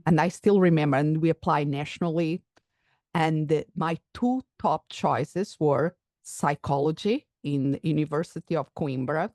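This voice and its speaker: A woman's voice: low voice, a little mellow, no echo, studio quality. A woman from Portugal with a Portuguese accent, a psychologist and coach explaining her views in a thoughtful conversational interview, with occasional restarts.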